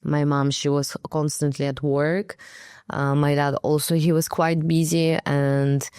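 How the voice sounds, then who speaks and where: A woman's voice: sits low, little pitch movement, measured pace, a little mellow, clean tone, no echo, clean studio sound. A woman from Kazakhstan whose first language is Russian, speaking as an interview guest in a hesitant way, with many fillers as she searches for words. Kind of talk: conversational interview.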